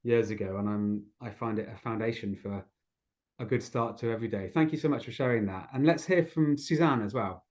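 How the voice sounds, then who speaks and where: A man's voice: fairly low pitch, fairly quick, darkish tone. Encouraging man hosting an online gathering. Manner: encouraging, appreciative. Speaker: host of an online community gathering. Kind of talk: facilitating an online group discussion. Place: online video call.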